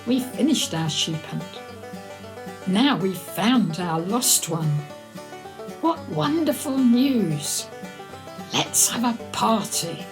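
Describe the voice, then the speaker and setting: A woman reads to young children at a very unhurried pace. Her delivery is expressive and deliberate, with a sing-song storyteller's lilt. Music plays clearly along with her voice. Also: mid-range voice. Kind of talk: animated chanted story reading for young children.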